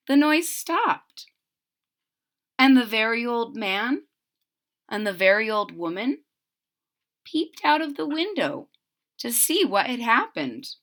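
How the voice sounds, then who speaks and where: A woman reading a picture book aloud to young children, expressive and stopping to explain in simple words. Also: fairly high pitch. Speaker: storybook reader.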